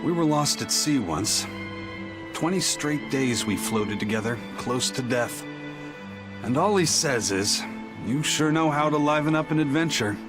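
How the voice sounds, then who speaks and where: An actor playing a gruff, brooding pirate with a grave, terse manner in a video game cutscene. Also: male voice, mid-range voice, lively intonation, a little slow, natural voice. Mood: reflective. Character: brooding, gruff pirate man haunted by a cursed past. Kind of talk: English-dubbed video game cutscene acting. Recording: dramatic game music behind the voice.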